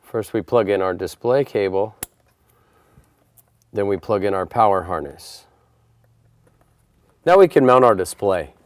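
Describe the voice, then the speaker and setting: A man's voice: fairly low pitch, expressive, measured pace, pauses often, no room sound. A man presenting a how-to installation tutorial, explaining each step in a plain, methodical way. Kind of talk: step-by-step instructional narration.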